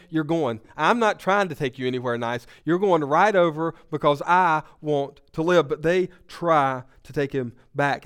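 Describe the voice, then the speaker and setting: A Southern-accented man gives a sermon, with a smooth, resonant voice that sits a little high for a man. He's sick but still talks conversationally, cracks the odd joke and builds intensity as he retells the story. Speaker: pastor.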